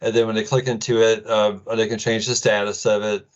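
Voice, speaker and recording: Low-voiced, halting middle-aged American man. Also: level tone, muffled recording. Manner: halting, hesitant with many ums, casual. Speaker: presenter, IT administrator. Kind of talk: informal tech demo presentation.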